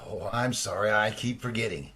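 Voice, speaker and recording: A man playing an eager, deferential scientist's assistant in old-time radio drama style, excitable and a bit hesitant. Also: lowish voice, moderate pace. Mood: sheepish.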